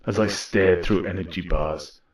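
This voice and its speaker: An actor playing a weary, solemn robot war leader in an audio drama, speaking in a grave, measured way. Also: male voice, deep voice, husky. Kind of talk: audio drama dialogue and first-person narration.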